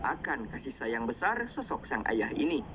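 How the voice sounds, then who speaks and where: A man's voice: medium pitch, big pitch swings, normal speed, noisy background. A man with a slightly congested, flu-affected voice narrates a film recap in a dramatic storytelling style.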